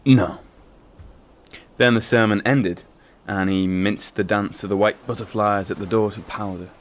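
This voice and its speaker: A man's voice: fairly low pitch, fairly even intonation, measured pace, lightly acted. A man acting an eager, wordy character who reminisces theatrically about boarding school in a literary drama. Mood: emphatic, ambitious.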